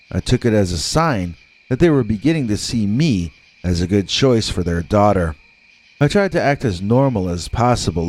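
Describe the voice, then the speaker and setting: A man reading scripted scary stories as a narrator, with dramatic, deliberate delivery and drawn-out words. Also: fairly low pitch, darkish tone, low-quality recording.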